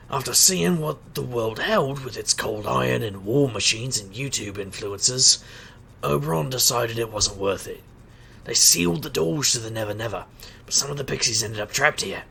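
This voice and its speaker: An actor playing a wry, stubborn street magician detective in an audio drama, with a gravelly, sardonic delivery. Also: male voice, medium pitch, sharp tone, a little hiss or hum. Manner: sardonic, wisecracking. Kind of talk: audio drama acting and noir-like narration.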